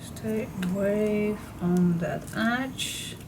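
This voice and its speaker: A woman with a slightly hoarse, froggy voice talks through a papercraft project at an unhurried, easy pace. She chats loosely and half to herself as she works. A steady hiss of noise sits clearly under the recording. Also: medium pitch. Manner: chatty, slow and relaxed, talks to herself while she works. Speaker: papercraft tutorial host. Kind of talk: casual crafting tutorial talk.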